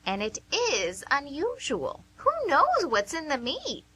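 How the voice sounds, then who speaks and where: A woman's voice: high voice, huge pitch swings, unhurried, sharp tone, heavily acted. A woman playing a shy, teasing, self-deprecating masked woman on a date in an amateur radio play, playful and coy.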